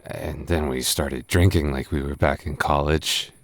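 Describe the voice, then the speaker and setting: A very low, unhurried young male voice acted by a man plays a tipsy roommate in an intimate roleplay audio. He stammers and rambles, teasing yet flustered and secretly smitten. The sound is close and dry. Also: fairly even intonation, vocal fry, no echo, heavily acted. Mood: tipsy, rambling. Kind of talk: boyfriend roleplay audio acting.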